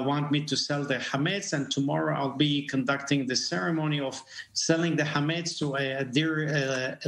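A middle-aged man from Lebanon, a rabbi giving a remote news interview, speaking haltingly with many fillers and repeated words. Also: a little high, fairly bright tone. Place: home room with bookshelves. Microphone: video call. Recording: echoey room on a video call.

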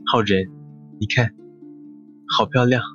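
A man's voice: mid-range voice, steady tone, takes it slowly, fairly bright tone, faint music behind. Man as a detached young man, dull recording. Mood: dreamy, detached. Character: sickly, dreamy, detached older brother, young man. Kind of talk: Mandarin audio drama acting.

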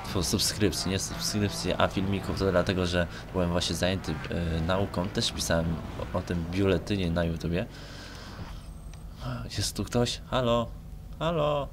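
Casting: young man, low voice, casual gamer commentary, hesitant and colloquial, noisy home mic. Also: normal speed. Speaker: gaming video creator. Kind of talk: casual rambling talk over gameplay. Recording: noisy home microphone recording. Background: noise with car engine sounds from the game.

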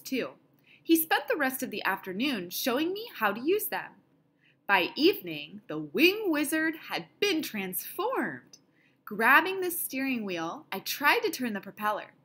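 A woman reading a children's picture book aloud in an expressive style, with deliberate, dramatic phrasing. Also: on the high side, husky. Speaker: storybook reader.

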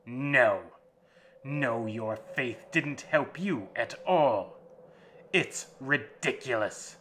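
A man's voice: medium pitch, lively intonation, takes it slowly, fairly bright tone, a little hiss or hum. A man voicing a cruel, mocking male vampire master who sneers and gloats in a dramatic audiobook reading. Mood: contemptuous.

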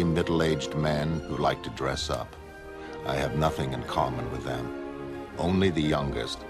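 A melancholy superhuman man with an extremely low voice. He is aloof and measured, stating everything matter-of-factly as in a comic-book narration. Music plays clearly behind him. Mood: melancholy, detached. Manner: measured, detached, matter-of-fact. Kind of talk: scripted motion comic narration.

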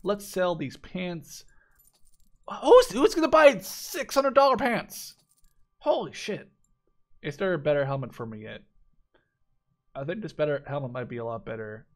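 A man's voice: fairly high pitch, lively intonation, a little slow, long pauses. A man in his twenties giving casual let's play commentary, rambling and repeating himself, with casual swearing. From Netherlands. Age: 20s. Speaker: gaming video host.

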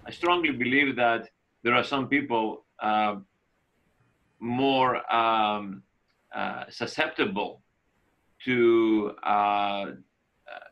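Halting man doctor on a thin video call. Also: mid-range voice, fairly even intonation, fairly bright tone, lo-fi sound. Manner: halting, many fillers. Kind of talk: interview over video call. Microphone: laptop video call.

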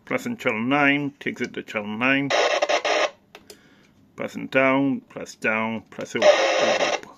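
A man talks through a piece of gear in a casual, unscripted way. His pace is slow and halting, and his voice has a creaky, gravelly edge at an average male pitch. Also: fairly even intonation, highs cut off, very noisy. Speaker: hobbyist presenter. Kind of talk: casual off-the-cuff product demonstration.

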